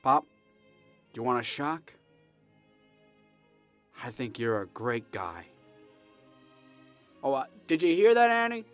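An actor playing an eager, restless young small-town man in a radio drama, earnest and halting. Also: medium pitch, big pitch swings, a bit bright, faint music behind. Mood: fond. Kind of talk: old-time radio drama acting.

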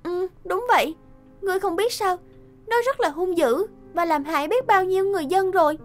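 A woman voicing a plucky, pleading young fairy-tale princess in an animated cartoon, eager and insistent. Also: very high voice, fairly quick. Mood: determined. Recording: music behind the voice.

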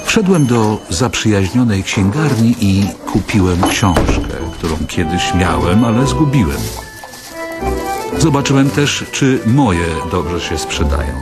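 A man's voice: lowish voice, huge pitch swings, takes it slowly. A man reading scripted first-person literary narration for an audio drama. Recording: loud music behind the voice. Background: loud music.

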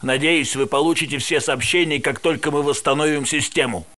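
An actor playing a wary, chatty male security guard in a game, brisk and wisecracking. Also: a little high, bright, forceful tone. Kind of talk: game voice acting.

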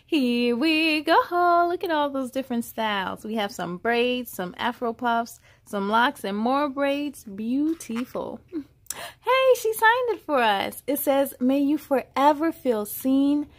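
Female story-time host, higher-pitched, unhurried delivery, encouraging read-aloud for kids. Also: animated. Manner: encouraging, affirming, addresses young listeners directly. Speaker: host reading aloud for children. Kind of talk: children's picture book read-aloud with casual comments.

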